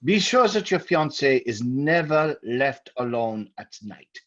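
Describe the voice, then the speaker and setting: Grave scholarly occult doctor, muffled recording. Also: male voice, medium pitch, very animated. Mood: ominous warning. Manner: halting, deliberate, theatrical. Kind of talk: old-time radio drama acting. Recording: dull old-time radio recording.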